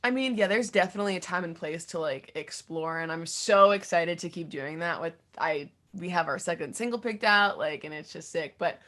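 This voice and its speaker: A young woman with a fairly low voice speaks as an interviewed singer-songwriter. She is candid and informal, working out her answers in fragments and often acting out her own thoughts as quotes. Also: normal speed, few pauses, a bit bright. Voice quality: low, deep voice. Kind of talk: casual interview chat.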